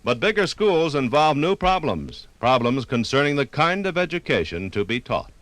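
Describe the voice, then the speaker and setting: An American man reading scripted 1950s radio documentary narration with oratorical, measured phrasing. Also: medium pitch, very animated, a bit bright, no room sound.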